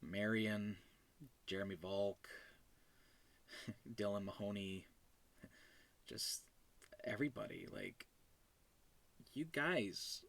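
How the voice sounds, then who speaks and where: A man's voice: lowish voice, big pitch swings, takes it slowly, vocal fry, long pauses, fairly bright tone. A man hosting his own show, talking casually and effusively, emotional and overjoyed, stammering and trailing off as he goes. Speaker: host and creator of an animated web series. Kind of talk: casual unscripted thank-you monologue.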